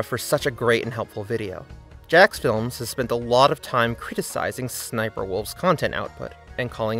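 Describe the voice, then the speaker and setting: A man's voice: medium pitch, a bit bright. Man narrating a critical video essay. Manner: earnest, critical commentary.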